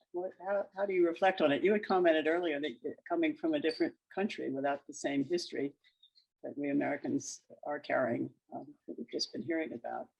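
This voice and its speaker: A woman moderates an online panel, her voice husky with a gravelly edge. She asks questions in a loose, conversational way, pausing and restarting as she goes. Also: low voice, dull audio. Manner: warm, conversational questioning with hesitations.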